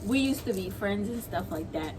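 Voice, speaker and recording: A young American woman chatting casually as a guest, slangy and halting as she gives her side. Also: medium pitch, animated, crowd noise. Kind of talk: casual chat. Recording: noisy room with clear background noise.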